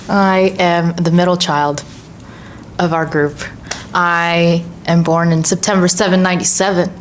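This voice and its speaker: A woman in her twenties co-hosting a casual podcast chat, bubbly and quick to laugh. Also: on the low side, a little hiss or hum. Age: 20s. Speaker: co-host.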